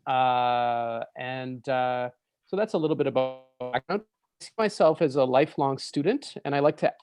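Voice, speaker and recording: A man's voice: medium pitch, gravelly, dry recording, clean studio sound. A young Canadian man, a psychiatry resident, introducing himself politely on a panel.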